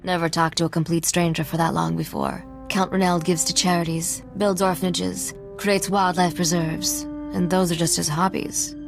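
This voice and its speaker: An actress playing a sardonic, self-assured young smuggler who is also an exiled royal heiress, in video game dialogue. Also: female voice, on the low side, level tone, normal speed, gravelly, hardly any pauses, over music. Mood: wry, thoughtful. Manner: dry, wry, self-assured.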